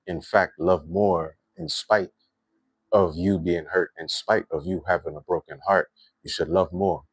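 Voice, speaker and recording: A man with a Trinidadian accent hosting a livestream, talking in a reflective, unhurried way with lots of "you know" and "right". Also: low-pitched, dry recording. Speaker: livestream host. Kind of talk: spiritual livestream monologue.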